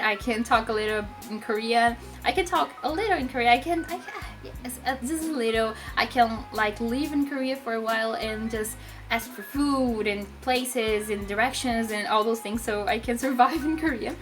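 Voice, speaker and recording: Eager Brazilian woman, high bright voice. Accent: Brazilian Portuguese. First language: Portuguese. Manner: eager, fast bursts with many fillers and restarts. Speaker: vlogger. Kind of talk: casual personal story to camera.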